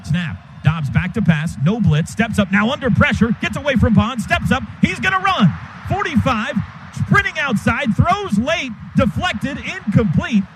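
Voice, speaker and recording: A man calling a football game play by play for radio, in quick clipped bursts that jump up on big plays. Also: very high-pitched, animated. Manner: rapid, clipped calls that rise sharply on big plays. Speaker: play-by-play announcer. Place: stadium radio booth. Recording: noisy stadium radio broadcast.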